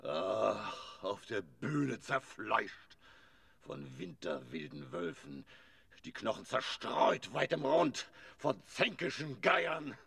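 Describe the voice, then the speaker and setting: An actor playing an aging, bitter stage actor in a radio drama, raspy and declamatory, swinging between bluster and muttering. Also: male voice, medium pitch, lively intonation, slow pace, fairly bright tone, heavily acted. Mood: brooding, theatrical. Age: older. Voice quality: gravelly, raspy.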